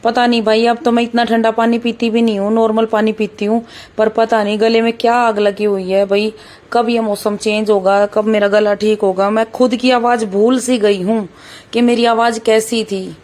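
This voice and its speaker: A hoarse-voiced woman, chatting away to her viewers as she works in her kitchen. Her voice sits a little high and stays fairly flat in tone, and she drops in "bhai" over and over in a loose, homely way. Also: normal speed. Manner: chatty, keeps saying "bhai", talks while cooking. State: hoarse, sore throat. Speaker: vlogger. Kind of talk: casual vlog chat.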